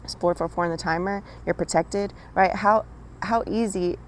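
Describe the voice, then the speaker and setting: A woman with a raspy voice giving a casual channelled spiritual message, talking straight to the listener with frequent "right?" and "okay?". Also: on the low side, steady tone, moderate pace, a little hiss or hum.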